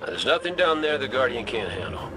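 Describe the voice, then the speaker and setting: A folksy gunslinger acted by a man with a medium-pitched voice and a wry, casual manner. He sounds weary but stubborn, a video game hero talking over clearly audible music. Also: sing-song, fairly quick, lightly acted, poor audio. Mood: wistful, wry.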